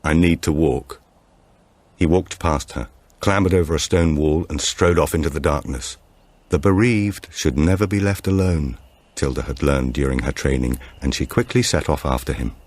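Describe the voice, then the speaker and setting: A man reading a suspense thriller as an audiobook narrator, in a measured, scripted way. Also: rumbling low, a little mellow. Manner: measured, acts out dialogue.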